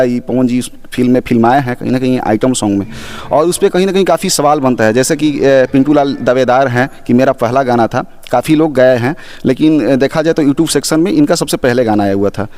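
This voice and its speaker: A man's voice: medium pitch, fairly quick, a little mellow. A man working as an interviewer, putting persistent, pointed questions in a probing style.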